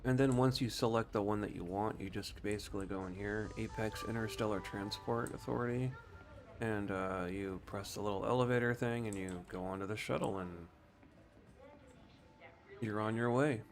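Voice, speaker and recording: A man streaming a video game, explaining things in a casual, rambling, unhurried way. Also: lowish voice, steady tone. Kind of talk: casual gameplay commentary. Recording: faint background noise.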